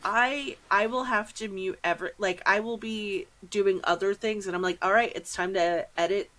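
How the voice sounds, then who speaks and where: A woman's voice: medium pitch, steady tone, moderate pace, a bit bright. A woman hosting a podcast in a casual, conversational interview style, acting out little asides as she talks. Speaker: podcast host.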